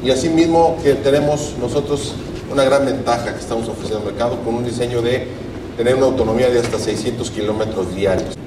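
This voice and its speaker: A middle-aged man giving a measured corporate presentation on a handheld microphone, often checking in with "¿sí?". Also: mid-range voice, background noise. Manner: measured, fact-heavy, frequent "¿sí?" check-ins. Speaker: company executive presenting at a trade show. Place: large indoor exhibition hall. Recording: echoey hall, taped from a distance.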